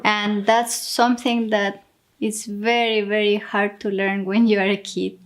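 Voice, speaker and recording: A woman's voice: mid-range voice, flat delivery, measured pace. A young woman from Chile with a Chilean Spanish accent, talking casually as a co-host. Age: young adult. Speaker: co-host, money management coach. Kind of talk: casual explanatory talk.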